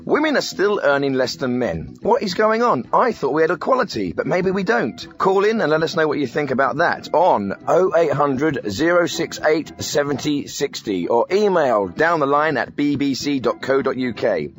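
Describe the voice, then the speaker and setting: An actor playing a glib, patronising radio host presenting a spoof phone-in show. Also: male voice, a little high, sing-song, moderate pace, few pauses. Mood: breezy, earnest. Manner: patronising, breezy, dismissive.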